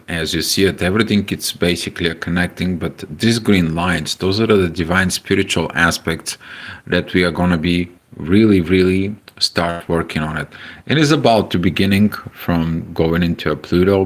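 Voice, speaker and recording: A man from Bulgaria with a Bulgarian accent, hosting a casual astrology livestream, speaking haltingly with many filler sounds and greeting viewers. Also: sits low.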